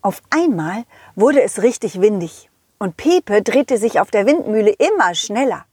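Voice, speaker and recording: Woman as a lively, unhurried, friendly storytelling dog. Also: mid-range voice, slightly rough, no echo, studio quality, voice pushed down. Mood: insistent. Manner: expressive, addresses children directly, halting delivery. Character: friendly male dog, confident, loyal, eager storyteller. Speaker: host and narrator. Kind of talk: children's audio story narration.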